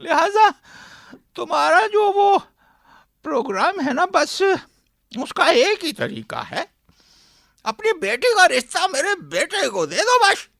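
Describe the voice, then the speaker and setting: A woman's voice: very high voice, very animated, slow pace, gravelly, pauses often, fairly bright tone, no echo, clean studio sound, exaggerated voice. A woman playing an oily, mocking old moneylender father in a radio drama, with sing-song wheedling and chuckles. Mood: gloating.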